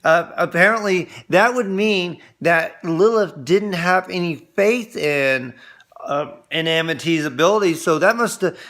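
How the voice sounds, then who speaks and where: A man reviewing an episode in casual chat, explaining things earnestly and haltingly as he goes. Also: high-pitched, fairly bright tone.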